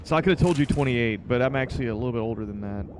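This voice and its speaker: A man's voice: medium pitch, racing, background noise. A man in his mid-thirties chatting casually with viewers as a video game livestreamer, in an informal, conversational way. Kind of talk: casual chat with stream viewers.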